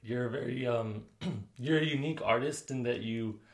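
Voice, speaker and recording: A man's voice: mid-range voice, moderate pace. A man hosting a casual interview podcast, upbeat and encouraging, with many fillers and small laughs. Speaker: podcast host.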